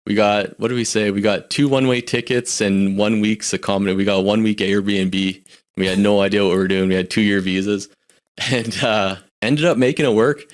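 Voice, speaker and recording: A man's voice: on the low side, fairly even intonation, moderate pace. A Canadian man in his forties, a podcast guest, talking candidly and reflectively about his life, often restarting his sentences. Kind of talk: casual personal storytelling.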